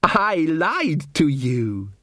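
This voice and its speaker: A man reading an audiobook, voicing a cold and cruel male elf who speaks slowly and mockingly. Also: a little high, sing-song, sharp tone, dry recording, studio quality, pitched above the performer's own voice, full character voice. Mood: menacing. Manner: slow, drawn-out, sneering delivery. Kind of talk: audiobook character voice.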